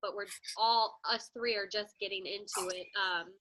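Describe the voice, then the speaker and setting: A woman's voice: mid-range voice, steady tone, moderate pace, bright, forceful tone, a little hiss or hum, poor audio. A woman hosting a casual group call as a fitness coach, chatty and rambling with many fillers, still a bit congested from a head cold. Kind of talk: casual group video chat.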